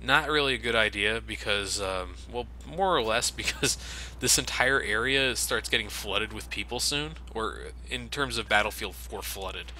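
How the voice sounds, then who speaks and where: Guy doing sardonic sweary game commentary, really bright sharp voice, some noise behind him. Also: on the low side, normal speed, few pauses, rough recording. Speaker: video game let's player and host. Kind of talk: casual gameplay commentary.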